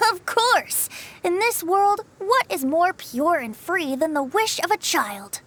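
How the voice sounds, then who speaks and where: A woman's voice: extremely high pitch, sing-song, slow, a bit bright, exaggerated voice. A woman voicing a playful, breezy young male bard with a lilting, theatrical delivery in a video game. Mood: amused. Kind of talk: video game cutscene acting.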